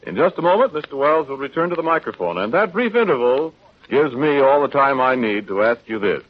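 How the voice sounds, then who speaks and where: A man announcing and pitching the sponsor in polished, courteous 1940s radio style. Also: very animated, fairly quick. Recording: muffled vintage 1940s radio broadcast.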